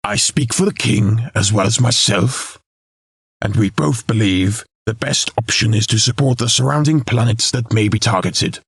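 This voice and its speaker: Man playing a pompous husky lord, gravelly edge. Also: mid-range voice, dry recording, clean studio sound, heavily acted. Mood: commanding. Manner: formal, pompous, measured oratory. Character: male noble lord, silky, self-important political schemer. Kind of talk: scripted audio drama acting.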